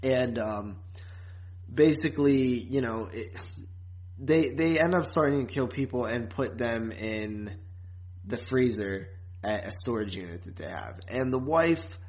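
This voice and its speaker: A man's voice: medium pitch, lively intonation, unhurried, a little mellow. A man co-hosting a podcast, recapping a TV series in casual, rambling, informal talk. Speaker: podcast co-host.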